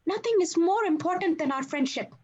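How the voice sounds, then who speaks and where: A woman's voice: very high voice, animated, brisk, darkish tone, highs cut off. A woman voicing an earnest, self-important little male monster sidekick in a read-through of a comedy script. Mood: earnest, wounded. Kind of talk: cast table read of a film script.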